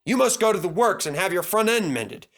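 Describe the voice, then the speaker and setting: A man's voice: high voice, very animated, fairly quick, fairly bright tone, pitched above the performer's own voice, full character voice. A man voicing a stern, pompous older male railway controller in a children's storybook, speaking formally. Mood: stern reprimand.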